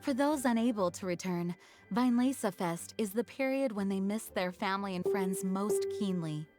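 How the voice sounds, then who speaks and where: An actress playing a courteous, dutiful woman knight leader in a video game, explaining things in a formal, measured way over music. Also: medium pitch, natural voice. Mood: explanatory, reverent. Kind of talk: video game character acting.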